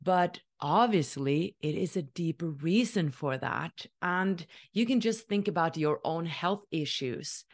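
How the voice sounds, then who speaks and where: Chatty woman from Norway, low voice. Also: lively intonation, a little slow, hardly any pauses. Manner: enthusiastic, chatty, many "like"s, laughs. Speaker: podcast host and interviewer. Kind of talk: casual podcast intro monologue.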